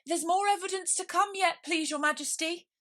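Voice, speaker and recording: Storybook rabbit herald, played by a woman: very high, bright, anxious and eager to please. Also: normal speed.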